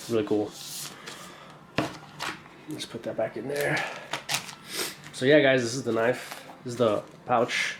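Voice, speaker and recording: A young man with a congested, stuffy voice talks through an unboxing in a loose, rambling way, peppering it with fillers and slang. His delivery stays fairly flat in pitch, with a roomy echo and a faint hiss in the background. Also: unhurried, long pauses. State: congested from a cold. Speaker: knife collector reviewing a purchase. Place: indoor room, camera over a tabletop.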